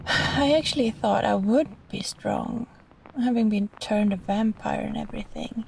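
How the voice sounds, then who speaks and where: A woman voicing a sweet but possessive catgirl vampire in an intimate roleplay monologue, soft and halting, pleading, with small sighs. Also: mid-range voice, creaky, a little hiss or hum, low-quality recording.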